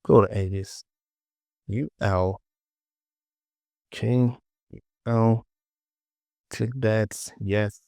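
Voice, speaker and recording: Male tutorial instructor, low and unhurried, informal short phrases, close dry recording. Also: fairly even intonation, darkish tone, smooth, no room sound. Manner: informal, muttered short instructions to self and viewer. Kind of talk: casual screen-recorded software tutorial, talking while working.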